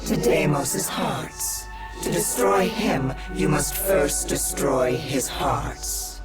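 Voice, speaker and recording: A slow, mocking trickster goddess. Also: female voice, low-pitched, lively intonation, over loud music, exaggerated voice. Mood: cool guiding. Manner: theatrical, mocking, unhurried. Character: sly, bored demigoddess of deception and trickery. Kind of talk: video game cutscene acting.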